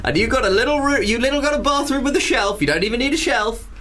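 Radio co-host, British male, high-pitched and lively, crude irreverent banter, faint noise behind. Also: quick pace. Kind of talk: casual comic banter.

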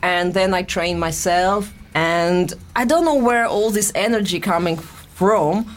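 A young adult woman from Russia with a Russian accent, talking in a casual interview in halting, broken English with frequent restarts. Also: on the low side. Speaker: guest, boxer and musician.

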